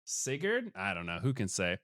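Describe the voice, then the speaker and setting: Male podcast host, booming and playful; animated mock-announcer reads, close dry sound. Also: normal speed, dry recording. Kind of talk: casual chat and comic introductions.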